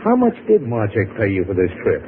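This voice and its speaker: A man's voice: lowish voice, wildly swooping pitch, a little fast, narrow, tinny audio. Sardonic radio gunman with a swinging voice. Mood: sardonic menace. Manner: smooth-talking, mocking, threatening. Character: menacing, sardonic gunman with mocking politeness. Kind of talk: 1950s radio drama acting. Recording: noisy, band-limited vintage radio recording.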